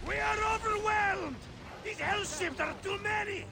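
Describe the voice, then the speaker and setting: A man's voice: very high-pitched, measured pace, gravelly, background noise, full character voice. A man voicing a male ship crewman in a video game, barking urgent battle orders. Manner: barked commands, shouting. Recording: game audio under loud music.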